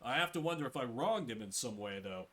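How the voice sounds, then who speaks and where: A man's voice: mid-range voice, animated, quick pace, a bit bright. Man playing earnest, troubled fantasy duke. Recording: game music behind the voice.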